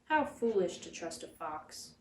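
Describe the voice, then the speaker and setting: A woman voicing a cautious male mouse dentist from a storybook, brisk, firm and authoritative. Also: lowish voice, big pitch swings, darkish tone, reverberant, recorded from a distance, slight background noise, voice pushed down. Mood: distrustful.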